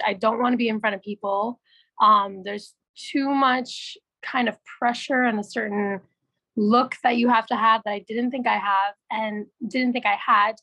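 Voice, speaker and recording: A young woman from Canada with a naturally raspy voice, a musician guest talking casually and with animation. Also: on the high side, dry recording, low-quality recording. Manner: animated storytelling, laughs often, swears. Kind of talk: casual chat.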